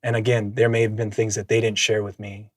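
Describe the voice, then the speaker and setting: A man whose first language is Samoan, telling his story as a podcast guest in a reflective, measured way, often laughing. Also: on the low side, level tone, dry recording, studio quality. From Minnesota, USA. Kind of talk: casual conversational storytelling.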